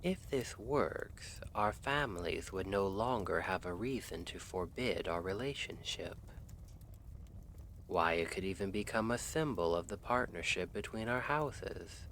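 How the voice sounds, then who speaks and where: Man playing a slow, low-voiced lovestruck assassin. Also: animated, a bit bright, a little hiss or hum, heavily acted. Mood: tender, resolved. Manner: measured, formal, hesitant phrasing. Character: earnest, lovestruck male assassin from a noble house. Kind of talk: ASMR roleplay, scripted.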